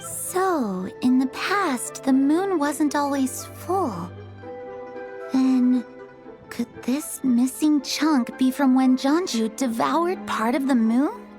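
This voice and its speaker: An elegant antiques dealer from a fantasy game. Her voice sits high, and she speaks with gracious, formal, deliberate politeness. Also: animated, music in the background. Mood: curious. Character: Linlang, refined, gracious female antiques proprietor. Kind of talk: dramatic game character dialogue.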